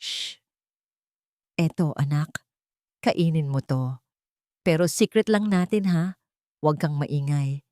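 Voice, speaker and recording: A gentle Filipino mother speaks in a hushed, secretive and soothing way, acted by a woman narrator. It is audiobook drama, recorded very dry. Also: low-pitched, slow, pauses often, dry recording. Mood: hushed, tender. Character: gentle, secretive, protective young boy's mother.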